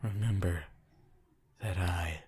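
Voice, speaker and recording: A woman's voice: very deep voice, slow, a little hiss or hum. A woman playing an obsessive, possessive yandere lover in an ASMR roleplay, clingy and unhinged. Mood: possessive, threatening. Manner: clingy, unhinged, swinging from sing-song pleading to hushed threats. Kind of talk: ASMR boyfriend roleplay audio.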